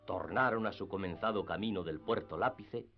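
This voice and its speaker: A man's voice: low-pitched, normal speed, gravelly. A man narrating a literary radio drama from a script. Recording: slightly dull radio recording. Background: music.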